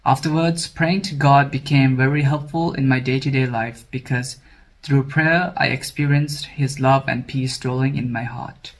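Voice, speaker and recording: A man's voice: medium pitch, steady tone, moderate pace. A man in his twenties from Sri Lanka, a scholarship candidate, reading a prepared testimony in a formal, read-aloud way. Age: 20s.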